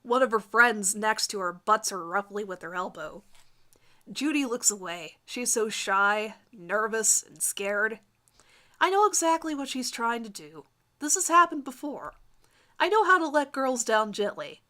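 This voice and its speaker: A woman giving a theatrical, expressive dramatic reading of fan fiction. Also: a little high, measured pace.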